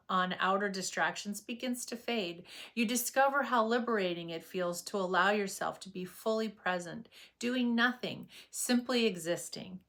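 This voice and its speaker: A woman narrating a scripted guided meditation as a yoga nidra guide, with gentle, unhurried and measured phrasing. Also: medium pitch.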